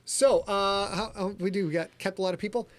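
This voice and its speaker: Energetic, profane livestream host. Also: male voice, high voice, dry recording. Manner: energetic, quick, profane, joking. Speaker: author and podcast host. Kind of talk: livestream chat and story reading. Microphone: livestream camera setup.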